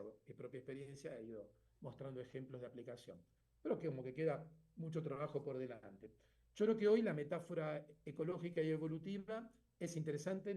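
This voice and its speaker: A middle-aged man speaks as an author explaining his own work, in a slightly high but warm, mellow voice. He reasons step by step, pausing on fillers and checking in with the listener through frequent tag questions.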